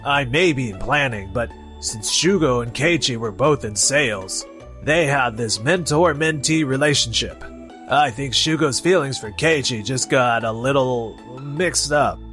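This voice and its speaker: A man's voice: mid-range voice, a little slow, a bit bright, natural voice. An actor plays a deferential male peacemaker from an anime office story. He is cautious and diplomatic in a mid-range voice, with faint music behind him. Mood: placating. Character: smooth-talking, cautious male planning colleague, deferential peacemaker. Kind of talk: anime-style manga dub acting.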